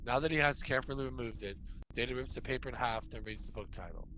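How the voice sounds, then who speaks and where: A man's voice: mid-range voice, fast talker, sharp tone, rough recording. A man reading out stage directions as narrator of a table read, with some background noise.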